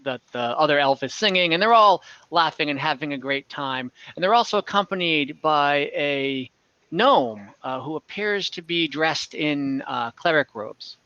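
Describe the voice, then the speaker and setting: A man with a bright, fairly high, clean voice acts as game master, improvising scenes in a hesitant, halting way. The sound is very dry and close, as in an online session. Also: animated, smooth, no echo, lo-fi sound. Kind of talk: improvised tabletop game narration.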